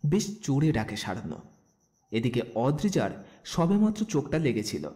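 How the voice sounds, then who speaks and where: A man narrating a scripted romantic story with expressive storytelling delivery. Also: mid-range voice, normal speed. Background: background music.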